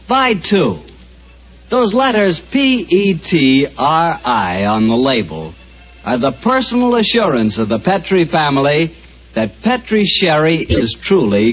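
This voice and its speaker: A man announcing a 1940s radio show and reading its sponsor's ad in a smooth, lively salesman delivery. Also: a little high. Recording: dull 1940s radio recording.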